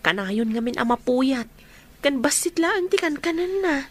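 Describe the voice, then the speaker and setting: A woman's voice: sits high, animated, normal speed. A woman playing a sympathetic, inquisitive friend in a radio drama.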